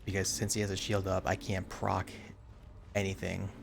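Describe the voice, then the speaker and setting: A man's voice: deep voice, monotone, moderate pace, gravelly, noisy background. A man chatting casually and muttering to himself as he streams a video game.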